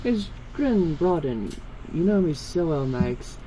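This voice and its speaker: A man with a soft-edged, mellow voice reads a fan story aloud, moving between narration and dialogue. He trips over longer words now and then. The amateur recording has faint noise behind it. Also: fairly high pitch, big pitch swings, slow pace, poor audio. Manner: stumbles over long words. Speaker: fan fiction reader.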